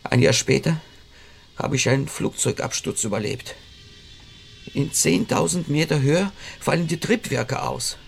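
A man's voice: fairly high pitch, unhurried, vocal fry, slight background noise. An actor playing an agitated, insistent patient who is convinced that he is doomed, in a radio drama. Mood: haunted, confessing. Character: agitated, stocky man convinced death pursues him. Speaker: therapy patient. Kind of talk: German radio drama acting.

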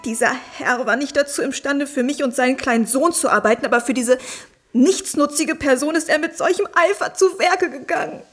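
High-pitched, distraught young wife played by an actress. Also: female voice, faint music behind, close to an everyday voice. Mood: bitter, tearful. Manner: halting, repeating words in anguish. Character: distraught young betrayed wife pleading with her father. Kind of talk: radio drama acting.

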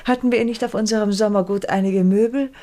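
A woman's voice: medium pitch, fairly even intonation, fast, dark tone, natural voice. An actress in a German radio play playing a scheming, wealthy widowed noblewoman, haughty, condescending and commanding.

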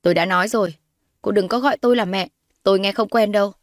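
A woman's voice: medium pitch, fast talker, no room sound, natural voice. A haughty middle-aged matriarch played by a woman narrator in an audio drama. She is cold and scornful, sneering her accusations, and the sound is close and dry.

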